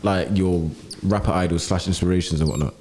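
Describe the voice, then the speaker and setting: Fast-talking young man, mellow. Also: sits low, fairly even intonation. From Ghana. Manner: rambling, trails off with "and whatnot". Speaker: radio co-host. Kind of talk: casual interview chat.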